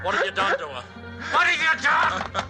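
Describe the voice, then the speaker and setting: A man's voice: very high voice, huge pitch swings, moderate pace, pushed and bright, soft background music, full character voice. An actor playing a blunt, hot-tempered and protective family man in a dramatic 1940s radio suspense style. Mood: desperate anger. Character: hot-tempered, protective husband and father narrating his ordeal. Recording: old 1940s radio recording.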